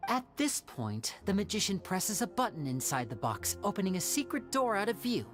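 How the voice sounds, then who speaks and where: A man voicing a young stage magician in a video game cutscene, explaining a trick in a smooth, theatrical storyteller's manner. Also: high-pitched, very animated, normal speed, fairly bright tone. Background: game soundtrack music.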